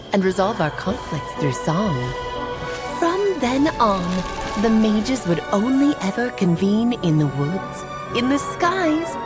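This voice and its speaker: An animated, theatrical witch from a video game. She is coy and motherly, telling things like a grand old storyteller. Her pitch moves freely, and soft music sits behind her. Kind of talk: video game character acting.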